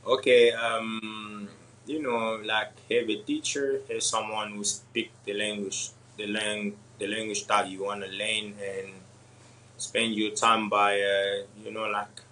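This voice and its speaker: A young man from Congo with French or Swahili as his first language, answering interview questions in a halting way with many pauses and laughs. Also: on the low side, fairly even intonation, bright, forceful tone, poor audio. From Democratic Republic of the Congo. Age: young adult. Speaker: MMA fighter guest.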